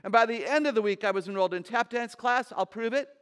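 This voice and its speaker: Woman in her sixties, low voice, animated humorous speaker, large stage, headset mic. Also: quick pace, clear, resonant tone. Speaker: conference speaker.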